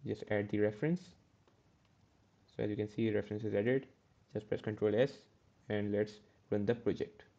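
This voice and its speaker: Man, coding tutor, flat low voice, dark tone, slightly dull recording. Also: moderate pace, gravelly, pauses often. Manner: step-by-step, self-correcting with "uh" and "okay". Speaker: programming tutor.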